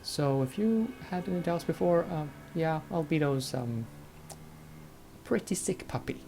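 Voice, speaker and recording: A man's voice: fairly high pitch, lively intonation, measured pace, vocal fry, slight background noise. A man giving casual, hesitant commentary over a video game. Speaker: let's play commentator. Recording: loud game music behind.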